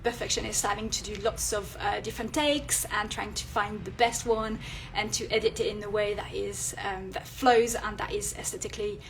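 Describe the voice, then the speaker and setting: A woman with a French accent, French her first language, giving tips on a live video and pausing often with fillers and restarts. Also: medium pitch, fairly even intonation, a little hiss or hum, rough recording. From France. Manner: hesitant, many fillers and restarts. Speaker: illustrator and vlogger. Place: indoors at home, near a street.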